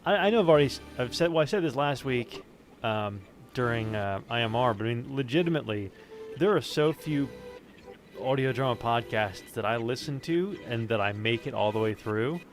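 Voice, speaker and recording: A man's voice: medium pitch, expressive, normal speed, soft background music. A man in casual conversation, talking in an emphatic, opinionated and unguarded way. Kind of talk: casual chat.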